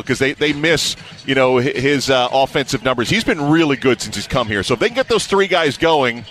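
A man's voice: medium pitch, bright, forceful tone. A man working as a play-by-play announcer, giving conversational analysis in a sports radio interview.

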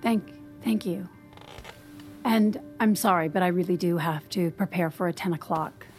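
A woman's voice: fairly low pitch, moderate pace, a little mellow, soft background music, lightly acted. Actress as anxious, flustered woman, hesitant. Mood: flustered, evasive. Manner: hesitant, apologetic. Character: anxious, flustered professional woman hiding a secret. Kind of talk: naturalistic audio drama acting.

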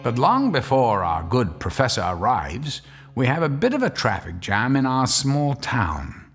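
An actor as a wry, ominous host narrating a radio drama. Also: male voice, mid-range voice, big pitch swings, unhurried, breathy edge, soft background music.

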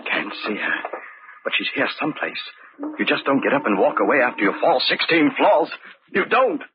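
An actor playing a guilty, paranoid husband in an old-time radio drama, outwardly polite but unraveling. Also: male voice, mid-range voice, big pitch swings, moderate pace, over loud music, close to an everyday voice. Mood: frantic, unraveling. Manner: agitated muttering to himself, swinging into cries. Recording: dull, noisy old radio recording.

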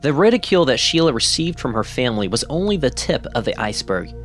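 A man hosting the show, reading an ad and narration in a smooth, even announcer delivery. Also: medium pitch, quick pace, faint music behind. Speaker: host. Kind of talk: scripted narration and ad read.

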